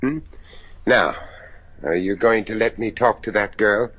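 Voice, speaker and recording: Grave, sharp-voiced theatrical judge. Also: male voice, fairly low pitch, slightly rough, dry recording. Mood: firm, probing. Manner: theatrical, deliberate delivery. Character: grave, anxious judge searching for his missing son. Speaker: actor in a radio play. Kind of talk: gothic horror radio drama acting.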